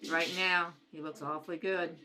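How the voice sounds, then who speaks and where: A woman's voice: on the low side, flat delivery, normal speed, pushed and bright, faint music behind, low-quality recording. A woman playing a direct, businesslike police detective in an amateur radio crime drama. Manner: direct, concise. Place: at a kitchen table.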